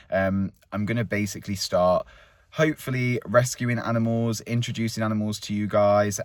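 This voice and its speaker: A man's voice: on the low side, no echo. A man in his thirties rambling openly and chattily as a vlog host while out on a countryside walk. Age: 30s. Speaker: vlogger host. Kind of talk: casual walk-and-talk vlog.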